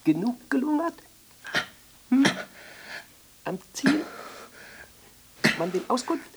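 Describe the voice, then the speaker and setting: A woman in a radio drama playing an eccentric, exalted and fussy ascetic, breathy and theatrical, halting between muttering and exclaiming. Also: high-pitched, very animated, darkish tone, slight background noise, heavily acted. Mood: fussy, interrogating. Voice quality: husky, breathy. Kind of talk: literary radio drama monologue.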